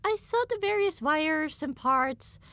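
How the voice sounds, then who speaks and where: A woman reads first-person fiction as an audiobook narrator. Her voice is fairly high and moves widely in pitch, giving the scripted prose a dramatic, expressive shape. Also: measured pace, dry recording.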